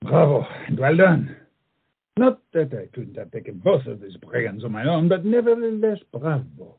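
Pompous duellist, high and gravelly, theatrical comic delivery, phone-like muffled sound. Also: male voice, very animated, normal speed, exaggerated voice. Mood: triumphant. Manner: theatrical, mocking, peppers speech with French phrases. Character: pompous, flamboyant big-nosed French swordsman, witty and proud. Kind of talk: comic stage play acting. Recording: muffled, tinny stage play recording.

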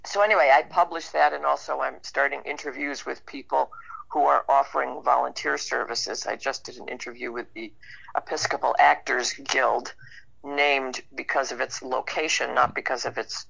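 A woman's voice: rumbling low, fairly even intonation, moderate pace, vocal fry, a bit bright, husky. A woman speaking casually and conversationally as a guest in an interview. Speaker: interview guest, actor and writer. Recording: dull band-limited radio call.

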